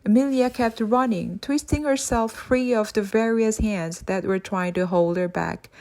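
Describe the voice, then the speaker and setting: A woman reads a children's adventure story aloud as an audiobook narrator. Her voice sits at a middle pitch, and she moves between narration and acted dialogue. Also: measured pace, a little mellow. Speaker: audiobook reader.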